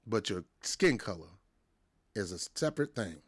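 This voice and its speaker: A man's voice: lowish voice, sing-song, normal speed, husky. An American man hosting a wrestling show, ranting in a casual, emphatic way, repeating himself and restarting his words. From United States. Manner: emphatic, repetitive, stumbles and restarts. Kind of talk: casual opinion rant to camera.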